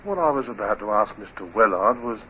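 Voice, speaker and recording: An actor playing an insolent sailor in a radio drama, slyly deferential and full of pointed insinuation. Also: male voice, lowish voice, animated, a little fast, natural voice. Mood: sly, insinuating. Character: insolent, insinuating male sailor, the captain's toady. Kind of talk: 1950s radio drama acting. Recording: muffled, hissy vintage radio recording.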